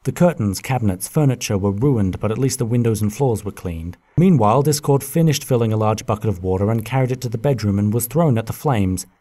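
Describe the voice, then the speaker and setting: A man narrating a scripted story in an expressive, dramatic way. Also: lowish voice, fairly quick, hardly any pauses.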